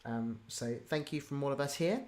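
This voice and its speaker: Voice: mid-range voice, normal speed, a little mellow. An enthusiastic, informal host chatting casually on a livestream.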